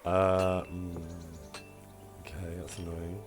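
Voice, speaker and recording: A man streaming a game with casual, self-deprecating commentary, in a husky voice, chatting with his viewers. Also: deep voice, level tone, slow, over music.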